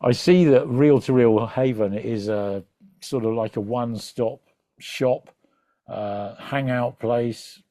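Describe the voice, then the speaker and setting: Guy doing a casual interview, kinda rambly, soft dark tone, really dry sound. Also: mid-range voice, slow, no room sound. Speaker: interviewer, host. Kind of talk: conversational interview.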